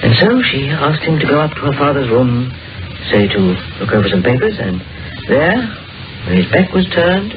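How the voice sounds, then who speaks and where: An actor playing a curious male doctor with a macabre imagination, speaking in a measured, musing way in a 1940s radio drama. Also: medium pitch, animated, lightly acted. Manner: measured, musing storyteller's delivery. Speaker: Doctor McGregor, an amateur sleuth. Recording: dull old radio broadcast with music.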